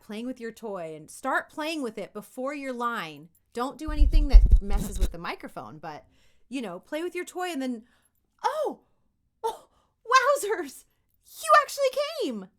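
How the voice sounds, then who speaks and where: A woman giving an informal tutorial as a voice acting coach, talking directly and expressively to kids and parents in an encouraging way. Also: on the high side. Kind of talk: informal tutorial talk to camera.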